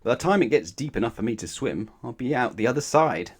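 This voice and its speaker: A man's voice: medium pitch, lively intonation, quick pace, husky. A very tall, long-legged children's storybook man, acted out by a male reader. He is glum and mournful, sighing over his lot in a widely swinging voice. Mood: gloomy.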